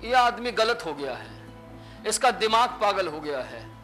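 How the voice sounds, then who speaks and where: Guy in his 30s preaching on a small stage, high voice, really animated, soft music in back. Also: unhurried. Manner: animated preacher, acts out dialogue with sweeping gestures. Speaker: pastor. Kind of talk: sermon. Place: small indoor stage with curtains.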